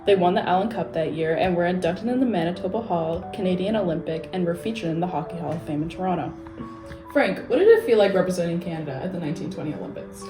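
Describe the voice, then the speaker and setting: A woman's voice: lowish voice, fast, natural voice. A young woman playing a polite sports interviewer, presenting and asking questions from a script. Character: polite sports interviewer hosting a hockey team. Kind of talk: scripted mock interview hosting. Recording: echoey room, music behind.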